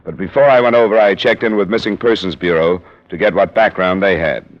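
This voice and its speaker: A man's voice: low voice, brisk, close to an everyday voice. An actor playing a hard-boiled insurance investigator who narrates his cases in a dry, matter-of-fact way, in a 1950s radio drama. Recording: muffled old radio broadcast with music.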